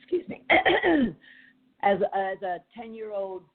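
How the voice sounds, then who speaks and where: A woman with a deep, raspy voice hosting a podcast as a leadership coach, speaking directly and challengingly with frequent fillers. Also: sing-song, slow. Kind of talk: motivational podcast monologue. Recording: tinny phone-like audio.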